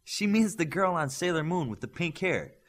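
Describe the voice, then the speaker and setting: A clever teenage superhero girl from an audiobook, fussy and a little reluctant, as a male reader puts her on. The delivery has a lively, moving pitch. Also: moderate pace. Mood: self-assured, fussy.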